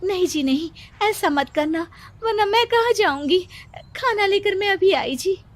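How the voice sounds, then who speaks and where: A nervous cartoon village wife who answers in a high, hurried voice, as the narrator puts her on. She sounds timid and eager to please. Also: lively intonation. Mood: anxious. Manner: anxious and hurried.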